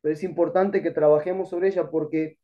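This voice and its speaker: A man's voice: on the high side, steady tone, fairly quick, dull audio. Earnest Argentine man teaching Bible class. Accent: Argentine Spanish. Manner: earnest, exhorting, addresses listeners as brother. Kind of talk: online Bible class lecture.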